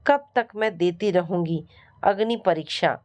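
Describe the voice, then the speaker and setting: A woman's voice: on the low side, a little slow, a little mellow, natural voice. A woman storyteller voicing a wronged middle-aged wife, anguished, weary and pleading.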